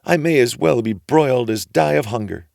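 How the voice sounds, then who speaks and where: Casting: male reader as hungry young boy, higher voice, polite pleading, bold streak, animated. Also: normal speed, creaky, no room sound, studio quality.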